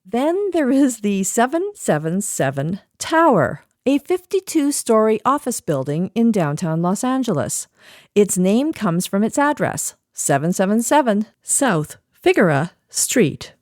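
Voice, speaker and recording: A woman's voice: medium pitch, sing-song, unhurried, a little mellow, no room sound, clean studio sound. A middle-aged woman presenting a scripted podcast segment, narrating with wry asides.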